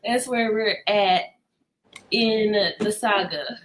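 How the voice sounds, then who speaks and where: A woman's voice: mid-range voice, takes it slowly, a little hiss or hum. A woman chatting casually as host of a book discussion, playful and slangy in her reactions. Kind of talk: casual book club chat.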